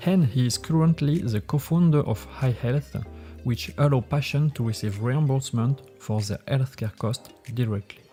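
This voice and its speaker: A man, a native French speaker, hosting a podcast and asking questions in careful, slightly halting English. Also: medium pitch, warm tone, faint music behind. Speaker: podcast host. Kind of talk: interview questions.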